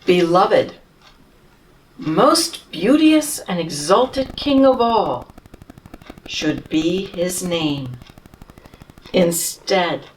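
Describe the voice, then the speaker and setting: A woman reading a children's storybook in the voice of a humble, tired male camel who tells his own tale slowly and tenderly. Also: on the low side, distant mic, a little hiss or hum, full character voice, rough recording. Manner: slow, tender storybook telling with lively rises.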